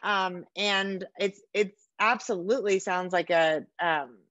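A middle-aged American woman hosting a casual interview over a video call, effusive and quick to poke fun at herself. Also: mid-range voice, fairly bright tone, lo-fi sound. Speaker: podcast host interviewing an author. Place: book-lined room at home, indoors.

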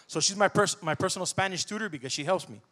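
Animated man preaching with a handheld mic. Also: high-pitched, fast. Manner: conversational, animated preaching with asides. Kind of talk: sermon. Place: church stage.

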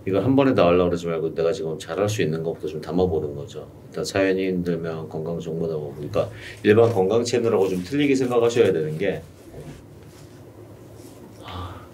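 A man's voice: sits low, brisk, mellow. A middle-aged man coaching a client one-on-one in a small studio room, explaining steadily and patiently. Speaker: YouTube coach. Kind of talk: one-on-one consulting advice. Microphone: desk mic on stand, heard from a distance. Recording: distant mic with faint room noise.

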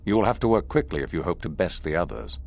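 Sinister old sith master for a game, low voice that rises and falls a lot, pompous speechifying. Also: male voice, big pitch swings, fast, creaky, darkish tone, full character voice. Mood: stern. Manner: theatrical, grandiose, condescending oratory. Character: commanding older male Sith master, sinister, solemn and goading. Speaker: academy master addressing recruits. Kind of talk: dramatic scripted video game acting.

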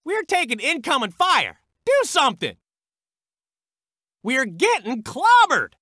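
A man's voice: very high-pitched, huge pitch swings, slow pace, bright, forceful tone, no echo, studio quality, heavily acted. An actor, a man, voicing a US Army artillery crewman in a war video game, with an American accent and clipped military phrases. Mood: desperate, shouting. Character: high-strung male US Army artillery crewman. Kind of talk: military game unit barks.

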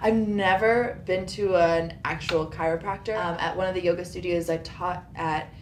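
Lower-pitched woman in an echoey room. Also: fairly even intonation, normal speed. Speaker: patient, yoga teacher. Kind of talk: casual, detailed account of body complaints. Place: small carpeted treatment room. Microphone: boom microphone on a stand. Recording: roomy sound with background hiss.

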